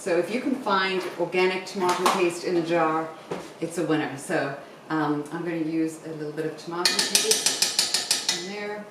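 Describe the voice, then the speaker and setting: A middle-aged woman from Zimbabwe hosting a cooking demonstration, explaining casually and instructively as she works. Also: on the low side. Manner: instructive, talking while cooking. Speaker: home cooking show host. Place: home kitchen, indoors. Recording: very echoey kitchen, distant mic, faint noise.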